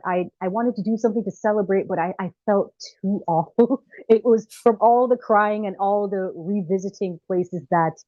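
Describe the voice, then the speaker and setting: Brief: earnest, soft-pitched woman guest. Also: dry recording, lo-fi sound. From Jamaica. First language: not French. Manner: earnest, laughs between phrases. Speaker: guest filmmaker. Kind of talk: interview storytelling.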